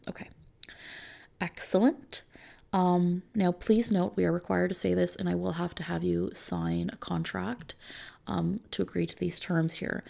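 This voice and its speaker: A woman speaks softly in a lowish, mellow voice with heavy vocal fry. She plays a service consultant in an ASMR roleplay, explaining things gently and reassuringly. Her phrases have breathy edges that slip toward a whisper. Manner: gentle, reassuring, checking in with the listener.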